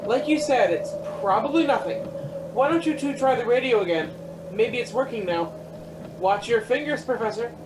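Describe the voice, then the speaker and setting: 男演员扮演的随性司机，语调起伏大. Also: male voice, very high-pitched, animated, normal speed, background noise. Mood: concerned, decisive. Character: easygoing male driver and pilot, bold, folksy. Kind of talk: radio play acting.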